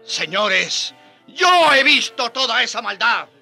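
An old friar full of indignation in a radio serial. His voice is very high and sharply forceful, emphatic as an orator's, with music behind him. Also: big pitch swings, slow, creaky, husky, exaggerated voice. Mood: indignant. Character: old, passionate, indignant Spanish friar defending the Indians. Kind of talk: radio drama acting.

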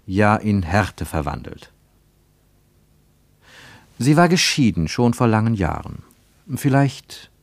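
A man narrates a literary radio drama in a lowish voice. He phrases with care and emphasis, enunciating deliberately. The sound is very dry, with no room around the voice. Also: darkish tone, no room sound.

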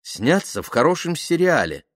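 A male reader puts on an eager, starstruck woman in an audiobook story. She gushes and takes offence easily, speaking in a raised, swooping pitch with a dry, close sound. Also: moderate pace, dry recording. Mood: offended. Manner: gushing and expressive, easily offended. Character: eager, touchy, starstruck woman dreaming of acting.

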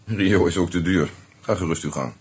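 An actor playing a composed, sardonic police commissioner in a radio detective play. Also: male voice, sits low, moderate pace, over loud music, natural voice. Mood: dry, probing. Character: dry, unhurried male police commissioner probing a suspect. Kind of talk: Dutch radio play dialogue. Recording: very noisy old radio recording with clicks.

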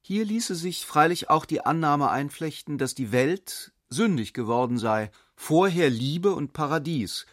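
An actor playing a reflective essayist who reasons aloud in a radio play, probing and deliberate. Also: male voice, fairly high pitch, animated, no room sound, studio quality. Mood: measured, reasoning. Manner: probing, deliberate, philosophical questioning. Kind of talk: literary radio play monologue.

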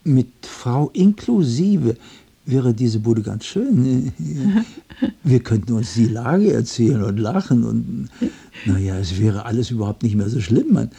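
An actor playing a lonely, muddled, touchy man in a radio play, rambling in a halting, repetitive, nervous way. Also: mid-range voice, lively intonation, creaky, dark tone, husky, full character voice. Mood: tentative, eager. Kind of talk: German radio play acting.

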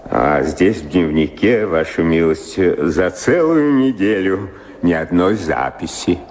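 Low, slow, pedantic male clerk. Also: expressive, a little mellow, a little hiss or hum. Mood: deferential, fussy. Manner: formal, deferential. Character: dutiful, pedantic clerk serving a lord. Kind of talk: theatrical audio drama acting.

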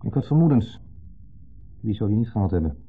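A muffled 1970s radio drama recording of an insecure, jealous husband figure with a Netherlands Dutch accent. His voice is low and husky. He speaks theatrically, repeating himself and pressing on words with a needling edge. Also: very animated, a little fast. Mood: brooding, suspicious. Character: insecure, needling man dwelling on old jealousy. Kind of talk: 1970s radio play acting.

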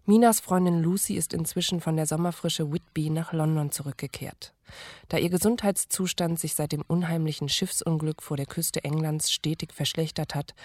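Very low-voiced woman narrating radio drama. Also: a little mellow, dry recording, clean studio sound. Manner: measured, formal storytelling. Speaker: narrator and announcer. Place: studio.

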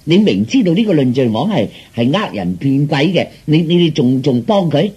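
A man's voice: a little high, big pitch swings, moderate pace, dark tone, husky, muffled recording, full character voice. A man voicing a nagging, exasperated middle-aged wife in a Cantonese comic radio play, scolding and complaining emphatically.

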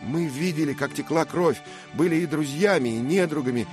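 A man's voice: on the high side, moderate pace, music in the background, voice pushed up, lightly acted. A man voicing an earnest, brave young squire who speaks with passion in an audiobook. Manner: impassioned, emphatic.

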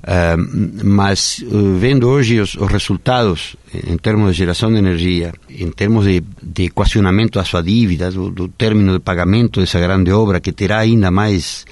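Man, low and dry. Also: moderate pace, hardly any pauses, a little mellow, dry recording. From Argentina. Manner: earnest, speaks in long winding sentences. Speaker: radio interview guest, park director. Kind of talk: radio interview.

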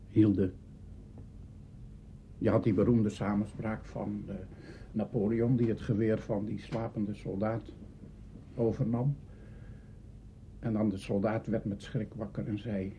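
An older man with a Netherlands Dutch accent, reminiscing in an interview in a deliberate, halting way, searching for words. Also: fairly low pitch, mellow. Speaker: interviewee recalling early radio drama. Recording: dull, slightly noisy interview recording.

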